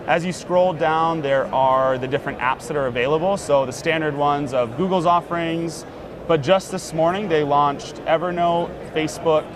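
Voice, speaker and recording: A man casually demonstrating a gadget in an interview, relaxed and full of fillers. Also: fairly high pitch, normal speed, crowd noise. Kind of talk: casual demo talk. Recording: noisy outdoor street sound.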